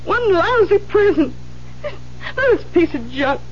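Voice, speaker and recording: A woman's voice: extremely high pitch, very animated, unhurried, exaggerated voice. An actress playing a hard-bitten, boozy woman, scornful and self-pitying about men, in 1970s radio drama style. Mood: bitter, scornful. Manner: weary, scornful, maudlin. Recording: muffled, noisy 1970s radio recording.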